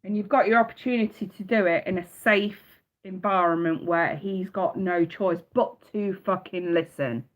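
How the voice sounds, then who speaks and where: A woman with a stuffy, cold-bunged nose reads tarot cards live, blunt and fired up, swearing without a second thought. Her voice sits in an ordinary middle pitch. The stream audio comes through slightly dull and lo-fi. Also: normal speed, hardly any pauses. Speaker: tarot reader.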